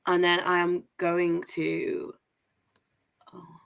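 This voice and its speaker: A young woman from Manchester hosts a casual livestream football roundup. Her voice sits slightly low and sounds posh. She talks in an upbeat, chatty way and keeps her enthusiasm up while she lists results. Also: monotone, very slow, creaky, a bit bright, clear, resonant tone. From Manchester, England. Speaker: host of a women's football review show.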